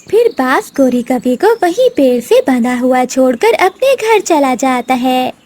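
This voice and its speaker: A woman's voice: high-pitched, very animated, a little slow, darkish tone. A woman narrating a scripted children's cartoon story.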